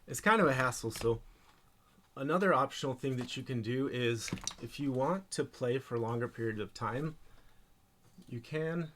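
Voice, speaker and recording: A man presenting an informal hands-on tech tutorial, speaking haltingly as he thinks aloud while handling gear. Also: medium pitch, fairly bright tone, slight background noise.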